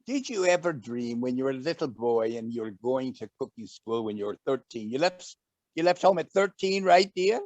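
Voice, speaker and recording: A man's voice: medium pitch, expressive, moderate pace, a little mellow, no echo, lo-fi sound, natural voice. A man doing a flirty, campy lady host. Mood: curious. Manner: gushing, flirty, says dear constantly. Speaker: talk show host. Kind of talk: campy comic interview.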